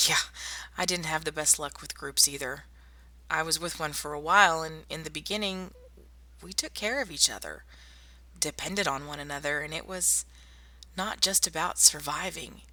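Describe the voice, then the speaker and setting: Woman playing wry young female survivor, soft-spoken ASMR drama, very crisp bright voice, gravelly creak. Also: low voice, dry recording, heavily acted. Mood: probing, somber. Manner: soft-spoken, intimate, addressing one listener. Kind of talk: ASMR roleplay audio drama.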